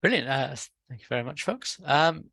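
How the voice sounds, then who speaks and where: Male chair, bright forceful tone, casual facilitation, close dry recording. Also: on the high side, lively intonation, moderate pace, gravelly, dry recording. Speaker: meeting chair. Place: online video call.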